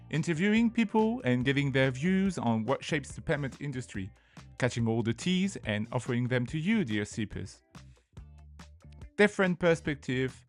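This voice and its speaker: French-accented male podcast host, playful scripted intro, light music bed. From France. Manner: playful, lively delivery with sudden pitch jumps.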